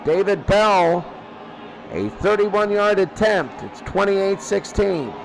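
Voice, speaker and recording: A man's voice: sits high, sing-song, takes it slowly, vocal fry, some chatter behind, poor audio. A man doing live radio play-by-play football commentary in clipped phrases that he often repeats. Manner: repeats phrases, clipped present-tense calls.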